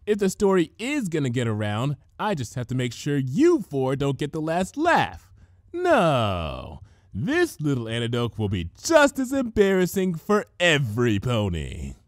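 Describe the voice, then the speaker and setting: A man's voice: fairly high pitch, wildly swooping pitch, a little slow, no echo, studio quality, pitched above the performer's own voice. A man voicing a young female unicorn in a story reading, bookish, bossy and exasperated, with a fussy, emphatic delivery. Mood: scheming.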